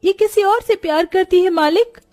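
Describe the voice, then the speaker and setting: A woman's voice: very high-pitched, moderate pace, darkish tone, no echo, pitched above the performer's own voice. A woman voicing a loyal, anxious young servant woman in a moral story, earnest and deferential. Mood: urgent pleading.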